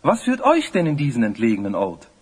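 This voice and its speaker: Husky, scholarly young student. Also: male voice, medium pitch, huge pitch swings, brisk. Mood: earnest, scholarly. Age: young adult. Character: polite, scholarly young male student, self-important. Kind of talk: German fantasy audio play acting.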